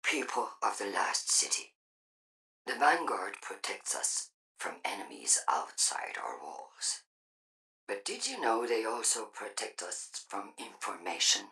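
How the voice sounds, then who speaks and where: An actress playing an imperious robot demagogue giving an ominous, deliberate propaganda broadcast in a video game, with a husky, breathy voice. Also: female voice, very deep voice, very animated, pushed and bright, recorded from a distance, exaggerated voice, rough recording. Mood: ominous, accusing. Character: imperious female robot demagogue warning of invasion.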